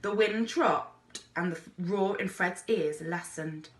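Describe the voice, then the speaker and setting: A woman reading a children's adventure story aloud as an expressive narrator, like a teacher reading to a class. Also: on the low side, takes it slowly. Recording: echoey room.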